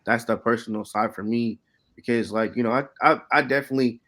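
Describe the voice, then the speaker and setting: A man in his late twenties, an artist, talking casually and haltingly on a panel with lots of "you know". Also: medium pitch, fairly even intonation, a bit bright. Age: late 20s. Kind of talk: casual unscripted artist talk.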